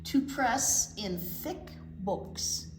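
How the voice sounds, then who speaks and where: An American woman reads aloud as a children's storytime teacher, slowly and with a very lively range. She draws words out for effect and slips in chatty asides. The room is echoey, with clear background noise. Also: medium pitch. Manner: expressive, drawn-out storytelling. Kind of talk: picture-book read-aloud with chatty asides.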